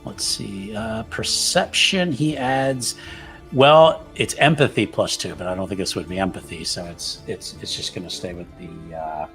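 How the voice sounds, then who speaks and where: A man's voice: lowish voice, a little slow, fairly bright tone, faint music behind, low-quality recording. A man taking part as a player in a tabletop game, talking casually and hesitantly. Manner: hesitant, lots of fillers.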